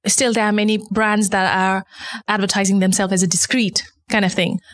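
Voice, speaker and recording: A woman's voice: medium pitch, little pitch movement, normal speed, a bit bright. Nepali-accented woman explaining in an interview. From eastern Nepal. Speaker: interview guest, company founder. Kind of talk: conversational interview answers.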